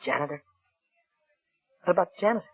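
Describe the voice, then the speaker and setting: An American actor playing a guilt-ridden, love-sick killer in vintage radio drama, halting, repetitive and pleading. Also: male voice, high-pitched, husky. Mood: uneasy, guilty. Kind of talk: 1940s-50s American radio drama acting. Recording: muffled vintage radio broadcast.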